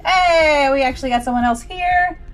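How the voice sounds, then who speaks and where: A woman's voice: sits high, normal speed, soft background music. A woman hosting a casual livestream, talking in a bubbly, playful way with folksy turns of phrase. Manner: bubbly and playful, with folksy greetings and sing-song muttering. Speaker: livestream host.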